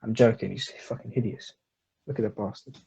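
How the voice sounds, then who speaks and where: A man's voice: lowish voice, fairly quick, creaky, dark tone, slightly rough. A man presenting slides in a crude, mocking joking way, on a dull lo-fi recording. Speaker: comedy presenter.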